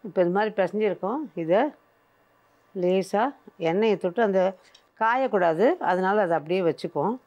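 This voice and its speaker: A woman's voice: medium pitch, moderate pace, long pauses, a little mellow. An older woman presenting a cooking show, patiently explaining each step as she works in a studio kitchen.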